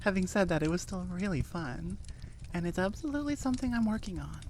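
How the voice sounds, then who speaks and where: A woman's voice: fairly low pitch, animated, fast talker, darkish tone. A woman talks casually to the listeners of her audio channel. Her delivery is informal and rambling, with a self-effacing manner. A faint noise sits behind the voice. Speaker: audio roleplay creator. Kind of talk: casual, chatty aside to listeners.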